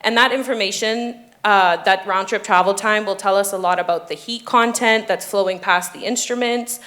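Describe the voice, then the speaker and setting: An earnest young woman from the Bahamas talks through her ocean research on a panel, steady and explanatory. Her pitch sits in a middle range, and she stops often with a soft um. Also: normal speed. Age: 20s. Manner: earnest, explanatory, frequent ums. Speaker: doctoral student. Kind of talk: panel discussion.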